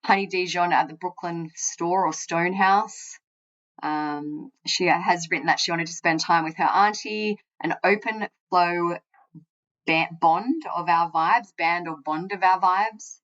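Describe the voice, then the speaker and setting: A woman's voice: lowish voice, fairly even intonation, a little slow, fairly bright tone, highs cut off. A woman hosting a true crime podcast, narrating conversationally and reading aloud with informal asides.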